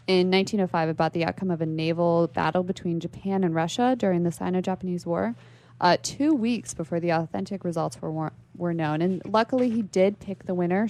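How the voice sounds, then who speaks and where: Lowish-voiced woman, radio guest. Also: a little mellow. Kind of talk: informal explanatory talk.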